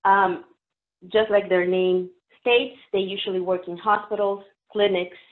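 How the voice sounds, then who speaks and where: A woman's voice: mid-range voice, little pitch movement, unhurried. Mexican-accented woman presenting over a call. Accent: Mexican Spanish. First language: Spanish. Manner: friendly, explanatory, uses fillers. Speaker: interpreter presenter. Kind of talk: webinar presentation. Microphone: video call. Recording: phone-like narrow audio.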